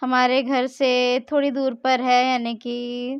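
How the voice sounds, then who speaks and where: A woman's voice: sits high, little pitch movement, slow, clear, resonant tone, dry recording, highs cut off. A young woman, chatting casually through her vlog and often correcting herself mid-sentence. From India. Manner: chatty, rambling, frequent self-corrections. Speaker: vlogger.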